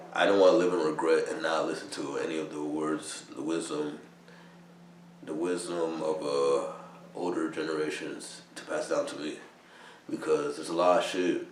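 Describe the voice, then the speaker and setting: A man's voice: a bass voice, echoey room, recorded from a distance, a little hiss or hum. A man in his thirties from New York, a soldier casually rambling in slangy talk with lots of "bro" and swearing. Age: 30s. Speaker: vlogger, army soldier. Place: army barracks room.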